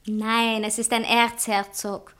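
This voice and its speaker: A woman's voice: fairly high pitch, flat delivery, takes it slowly, fairly bright tone, clear, resonant tone. An actress playing a proud, impulsive society woman writer in a satirical radio play, theatrical, by turns coquettish and indignant.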